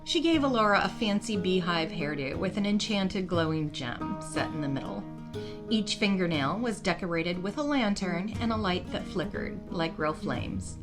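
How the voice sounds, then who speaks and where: Female storyteller for a fantasy fiction podcast, slightly low voice, instrumental music clearly underneath. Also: lively intonation, normal speed. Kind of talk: scripted story narration with character dialogue. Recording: music behind the voice.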